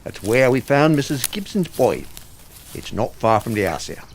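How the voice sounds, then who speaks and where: A man's voice: mid-range voice, big pitch swings, normal speed, slight background noise. Man playing a deferential police sergeant, swooping intonation. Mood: deferential, chatty. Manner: colloquial, deferential, ungrammatical. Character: deferential working-class English police sergeant. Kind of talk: audio theatre acting.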